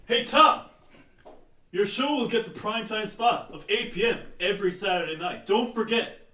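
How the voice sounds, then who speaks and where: Guy doing excitable pushy network boss, high sharp voice, calling from across a roomy space. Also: moderate pace, far from the mic. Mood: nagging. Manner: insistent, calling out. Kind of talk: hammy comedy sketch. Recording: echoey room, off the mic.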